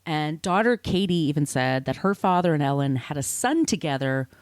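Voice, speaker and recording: A woman's voice: sits low, expressive, moderate pace, no room sound, studio quality. A woman hosting a podcast, telling literary history conversationally in an informal, explanatory way with asides. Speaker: podcast host.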